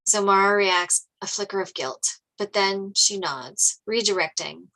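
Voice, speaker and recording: A woman's voice: medium pitch, a little slow, bright, forceful tone, low-quality recording. A woman reading screenplay stage directions as scripted narration.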